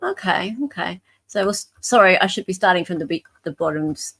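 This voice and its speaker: Low-voiced Australian woman, chatty host. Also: brisk, fairly bright tone, no room sound. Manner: informal, thinking aloud. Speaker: host leading a live group read-through of emails. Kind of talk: casual live commentary.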